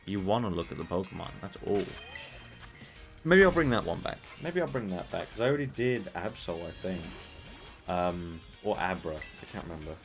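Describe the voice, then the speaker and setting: A British man streaming a game, chatting casually in a rambling, profane way that he calls monotone. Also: deep voice, normal speed, faint music behind. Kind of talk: casual live-stream chat while gaming.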